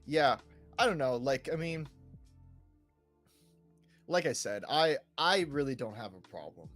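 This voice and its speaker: A man's voice: medium pitch, lively intonation, measured pace, fairly bright tone. A man co-hosting a gaming podcast, chatting casually and loosely, often tagging phrases with checking words and fillers. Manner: informal, peppers talk with "like", "right", "you know". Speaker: podcast co-host discussing video games. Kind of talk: casual rambling chat. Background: background music.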